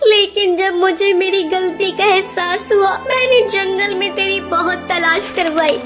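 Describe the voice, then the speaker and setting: Small female cartoon bird, acted by a woman; extremely high, childlike voice over loud children's music. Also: fairly even intonation, measured pace. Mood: remorseful. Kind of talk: Hindi cartoon dialogue.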